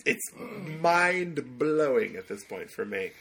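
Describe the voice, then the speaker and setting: A man's voice: medium pitch, sing-song, slow pace, fairly bright tone, slight background noise. A man hosting a podcast, chatting in a casual, comic and rambling way. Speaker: podcast host.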